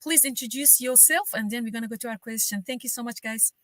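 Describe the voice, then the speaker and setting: A woman speaks upbeat on a live video call. Her voice is bright and fairly high, and the sound is close and dry with no room. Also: fast talker, dry recording. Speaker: co-host.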